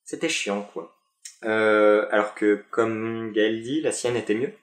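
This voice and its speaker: A young man chats casually to camera in a lowish voice. He stumbles through fillers and restarts. The room around him rings with echo. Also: slow. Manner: hesitant, many fillers and restarts. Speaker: vlogger. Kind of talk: casual chat about a fan convention.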